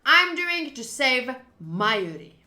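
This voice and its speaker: A young woman streaming a game, talking to chat in a playful, animated, jokey way. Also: high voice, takes it slowly, echoey room, slight background noise. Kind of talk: casual livestream reaction.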